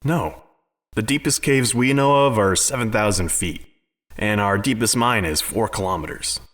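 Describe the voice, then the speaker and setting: Man playing a low-voiced, confident visionary scientist. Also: normal speed, a bit bright, natural voice. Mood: insistent. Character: confident male visionary scientist, open-minded, argumentative. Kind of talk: dramatic audio fiction acting.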